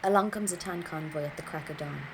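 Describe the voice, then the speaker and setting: A woman's voice: low voice, quick pace, heavy background noise. An actress playing a grieving, stubborn Irish woman from a 1920s rebel family, telling her story plainly and with restraint, in an Irish accent. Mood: sombre. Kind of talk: first-person audio drama monologue.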